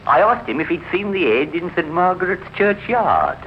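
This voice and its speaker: Suspicious formal gentleman in old radio drama, lively pitch swings, dull hissy sound. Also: normal speed. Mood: resolute. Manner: formal, probing, insistent. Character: suspicious, determined gentleman investigating a friend's disappearance. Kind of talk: 1950s radio crime drama acting.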